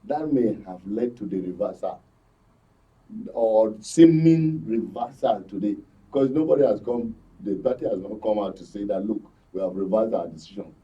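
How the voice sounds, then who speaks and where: Low, muffled Nigerian man commenting. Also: moderate pace, dark tone. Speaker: studio guest, political commentator. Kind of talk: conversational political commentary. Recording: muffled, far from microphone.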